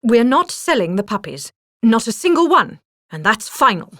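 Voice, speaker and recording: A woman's voice: a little high, very animated, moderate pace, fairly bright tone, dry recording, clean studio sound. A woman voicing a firm, resolute husband in a storybook, speaking emphatically. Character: firm, resolute young husband standing his ground.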